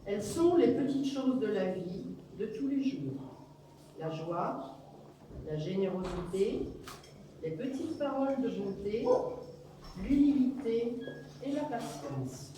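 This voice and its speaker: A woman's voice: on the high side, lively intonation, slow pace, long pauses, warm tone, slight background noise. A woman alternating lines of a text read aloud at a wedding, reading expressively. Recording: very echoey hall, distant mic.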